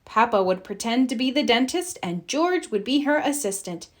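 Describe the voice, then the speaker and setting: A woman reading a children's storybook aloud in an expressive, deliberate storytelling style. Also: a little high. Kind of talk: read-aloud scripted narration.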